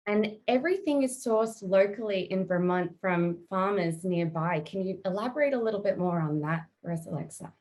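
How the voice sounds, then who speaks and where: A woman moderating a video-call panel, asking smooth, scripted questions. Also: medium pitch, lively intonation, moderate pace, few pauses, darkish tone.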